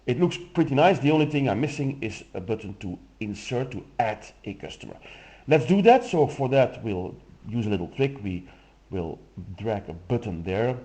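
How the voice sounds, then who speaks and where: A man whose first language is Dutch, explaining a software demo step by step in a relaxed way with some fillers. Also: medium pitch, normal speed. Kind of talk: screen-recorded software walkthrough.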